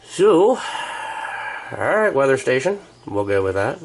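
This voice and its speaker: A man's voice: mid-range voice, very animated, slow pace, background noise. An American man vlogging in a rambling, casual chat, with a wry, joking, sarcastic manner. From United States.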